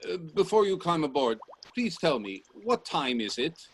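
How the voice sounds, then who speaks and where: Eccentric professor inventor, kinda high voice, super sure of himself, formal, emphatic, radio drama. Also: male voice, very animated, normal speed, slightly rough, heavily acted. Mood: brisk, commanding. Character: confident, eccentric male professor and inventor, grandly insistent. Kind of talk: radio play acting.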